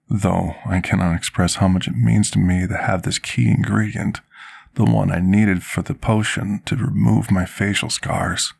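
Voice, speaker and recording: Man playing a deep, gravelly, devoted potion master. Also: full character voice. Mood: devoted, earnest. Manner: formal, measured, deferential. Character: devoted, apologetic male potion master tending a dragon patient. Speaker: ASMR roleplay performer. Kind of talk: ASMR fantasy roleplay.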